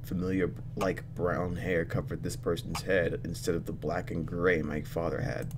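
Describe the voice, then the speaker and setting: Low-voiced man doing game commentary. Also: normal speed, noisy background. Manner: expressive, thinking out loud. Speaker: let's player reacting to a visual novel. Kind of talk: gaming commentary mixed with reading game text aloud.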